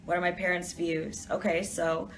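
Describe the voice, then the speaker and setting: A woman's voice: on the low side, level tone, normal speed, slight background noise, rough recording. A young woman from Detroit, chatting casually as she reads out viewer questions and answers them in an office during a live stream. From Detroit, USA.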